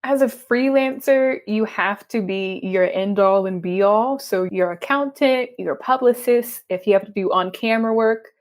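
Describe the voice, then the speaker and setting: A woman's voice: mid-range voice, moderate pace, few pauses. A young adult woman from Texas with a Texan accent, a freelance writer chatting animatedly and laughing often in an interview. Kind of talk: conversational interview.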